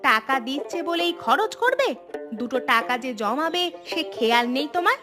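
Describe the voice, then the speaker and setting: A woman voicing a thrifty, nagging but soft-hearted village wife and mother in a cartoon. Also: high voice, very animated, moderate pace, music in the background. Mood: scolding. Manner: scolding, exclamatory. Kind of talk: cartoon voice acting.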